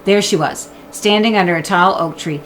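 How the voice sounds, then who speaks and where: A woman narrating a children's book in an expressive storytelling style. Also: fairly low pitch, moderate pace, a little hiss or hum, rough recording. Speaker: audiobook reader.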